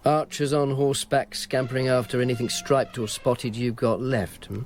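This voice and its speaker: A man's voice: medium pitch, steady tone, normal speed, slight background noise, close to an everyday voice. A man playing a wry, sardonic informer. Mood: probing. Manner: wry, sardonic, probing questions. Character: wry male informer investigating a murder. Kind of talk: radio drama acting.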